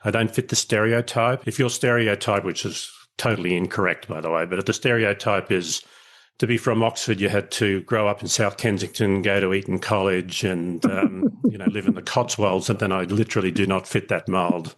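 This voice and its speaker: Low-voiced Australian man, reflective guest. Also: steady tone, normal speed. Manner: reflective, explains at length with small restarts. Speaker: guest, leadership educator. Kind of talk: casual interview over a call.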